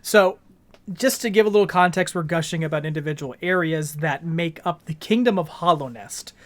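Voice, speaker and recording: A man's voice: fairly high pitch, normal speed, a bit bright. Guy hosting a gaming podcast, chatty but articulate, explains stuff as he goes. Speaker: podcast host. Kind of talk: casual, explanatory video game discussion.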